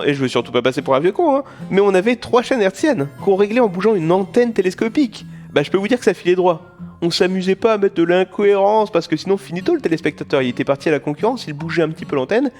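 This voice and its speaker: A self-mocking comic narrator, played by a man, rambles chattily in a slightly high voice. He grumbles with mock nostalgia and wanders off track, over light background music in an audio play. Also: animated, fast, darkish tone. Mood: mock indignant.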